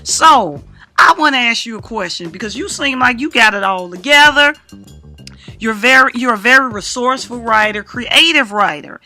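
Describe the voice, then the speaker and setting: A woman's voice: on the high side, animated, normal speed, sharp tone, soft background music. A woman hosting a radio interview in an informal, chatty, colloquial way. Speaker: radio show host.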